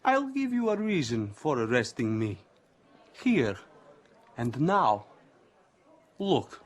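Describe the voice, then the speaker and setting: An actor playing an arrogant, clever young suspect in a radio drama, taunting in a mocking, theatrical manner. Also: male voice, mid-range voice, very animated, slow. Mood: smug, goading.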